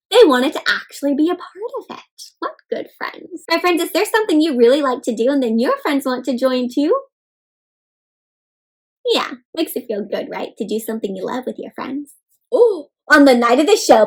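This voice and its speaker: Lady with a high voice doing an interactive kids read aloud, super expressive, up and down a lot. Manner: expressive, gasps and asks listeners questions; addresses young children in simple, encouraging words.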